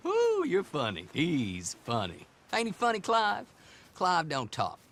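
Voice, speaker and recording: A sly backwoods horse fence with an American Southern accent. He is talkative and teasing, with a bright, very animated delivery over faint ambience. It suits a Western game. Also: fairly high pitch, extremely slow, slight background noise, exaggerated voice. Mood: teasing, amused. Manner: garrulous, folksy, sly haggling. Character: chatty, shady rural horse fence, folksy, teasing twin brother.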